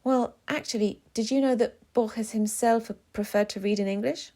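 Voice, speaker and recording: A woman's voice: a little high, steady tone, normal speed, mellow, voice pushed up, natural voice. A woman voicing a reserved, polite, bookish young woman traveller in an audiobook. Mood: politely informative. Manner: polite, guarded.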